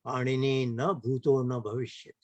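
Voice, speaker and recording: A man's voice: medium pitch, little pitch movement, a little fast, darkish tone, no room sound, lo-fi sound. A man with an Indian English accent giving an online lecture, emphatic and often closing points with rhetorical tag questions. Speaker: lecturer at an online heritage camp.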